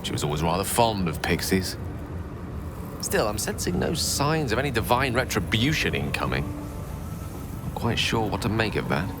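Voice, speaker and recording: An actor playing a refined, wry wizard in a video game, eloquent and given to dramatic phrasing. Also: male voice, lowish voice, very animated, moderate pace, long pauses, noisy background. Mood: wry, musing. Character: refined, wry male wizard, proud yet pragmatic.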